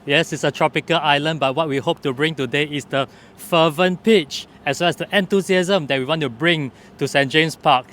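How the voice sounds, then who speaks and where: A man from Singapore delivers an earnest, rehearsed introduction with fervour. His voice is a little high. Faint street traffic sits behind him. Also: normal speed, few pauses, fairly bright tone. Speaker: fan club member.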